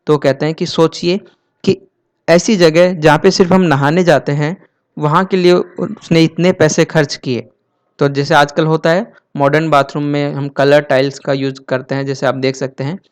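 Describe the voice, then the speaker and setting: A man teaching a school lesson, reading text slowly and then explaining it in Hindi. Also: on the high side, steady tone, clean tone.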